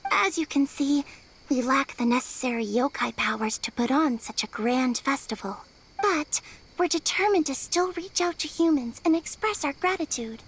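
A woman voicing a polite, sincere young girl yokai spirit in a video game, speaking in a measured storytelling way. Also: high voice, husky, low-quality recording.

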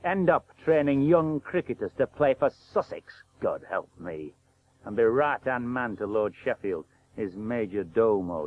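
An actor playing a blunt, bitter working-class old cricket professional in a radio play, plain-spoken and sardonic. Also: male voice, fairly low pitch. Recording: tinny narrow-band 1980s radio broadcast.

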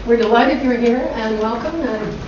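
A woman's voice: on the high side, moderate pace. A middle-aged woman introducing guests at a forum with chatty asides, heard from across a conference room. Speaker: school director and forum host. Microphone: far room camera mic. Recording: echoey room from far off, dull lo-fi, noisy.

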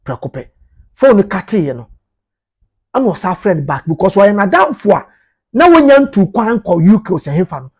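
A man's voice: high voice, very animated, moderate pace, darkish tone, breathy edge. A man in his thirties with a Ghanaian accent, giving informal commentary to camera and emphatically repeating his phrases. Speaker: media commentator. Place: small indoor office.

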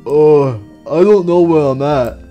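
Battered cartoon animatronic bear, male performer: slow-witted, blaring, baffled, short simple replies. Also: a little high, highs cut off, soft background music, lightly acted. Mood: confused. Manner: loud, bewildered, simple-minded. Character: big dim confused battered animatronic bear, loud and slow.

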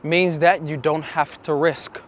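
A young man explaining to camera as a dating coach, with emphatic, deliberate phrasing and pauses, recorded outside on a city street. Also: on the high side, steady tone, a bit bright, muffled recording. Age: young adult. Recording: outdoor city street with traffic noise.

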